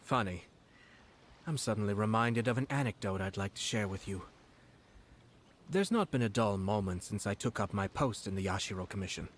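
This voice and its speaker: An actor playing a composed young noble commissioner in a video game, speaking with measured, courteous, quietly sardonic calm. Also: male voice, lowish voice. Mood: calm, cutting. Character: composed noble male commissioner, politely cutting. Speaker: game character.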